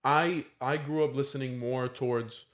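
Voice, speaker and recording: A man's voice: medium pitch, fairly even intonation, moderate pace. American male band member, mid-range voice, asks questions and thinks aloud. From United States. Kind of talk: casual podcast chat.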